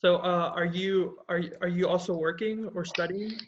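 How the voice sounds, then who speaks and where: A man hosts a casual podcast. His voice sits high and stays level without much rise and fall. He explains ideas in a plain, easygoing way. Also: steady tone, moderate pace, dull audio, slight background noise. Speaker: podcast host. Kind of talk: casual chat.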